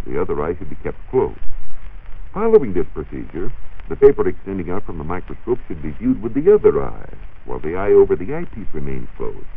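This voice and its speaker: A very low-voiced American man explains science in a measured, precise manner, with lively swings in pitch. His voice has a husky edge. It comes through a narrow, phone-like 1940s radio recording. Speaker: science reporter answering listener questions. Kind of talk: 1940s radio science talk, partly scripted.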